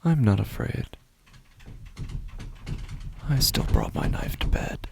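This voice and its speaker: A man playing a frightened, sleepless narrator of his own waking nightmare in a horror podcast, halting and intense, repeating his phrases. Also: mid-range voice, lively intonation, creaky, background noise. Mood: grim, shaken. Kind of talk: horror fiction podcast, dramatic first-person monologue.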